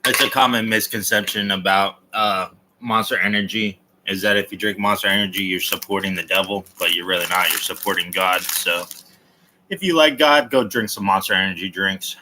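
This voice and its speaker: A man's voice: low voice, moderate pace, noisy background. A man chatting casually on a livestream, rambling between topics and answering viewer comments in a blunt, opinionated way. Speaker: livestream host.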